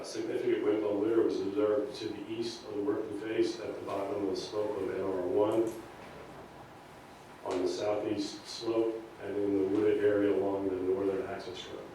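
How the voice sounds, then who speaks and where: Echoey, flat low-voiced man reading aloud. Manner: halting, monotone reading. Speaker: meeting participant presenting inspection reports. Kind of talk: reading a report aloud at a public meeting. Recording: echoey meeting room, distant mic, noisy.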